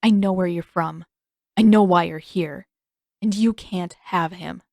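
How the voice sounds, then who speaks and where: A woman's voice: lowish voice, a little slow, vocal fry, a little mellow, dry recording, clean studio sound. A woman voicing a hostile, protective mother in an audiobook, with an icy, clipped, menacing delivery. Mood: cold, menacing. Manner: icy, clipped, hissing threats. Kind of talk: audiobook character acting.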